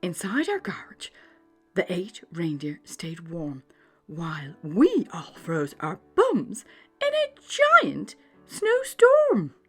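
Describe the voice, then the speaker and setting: A woman reading a children's bedtime story aloud, expressive and often dropping into a hushed, breathy delivery. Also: on the low side, takes it slowly, faint music behind. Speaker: storybook narrator.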